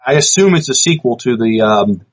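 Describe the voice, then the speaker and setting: A man co-hosting a podcast, reading news items and chatting casually, sometimes haltingly, on a lo-fi recording. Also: medium pitch, lively intonation. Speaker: podcast co-host. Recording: dull, lo-fi podcast audio.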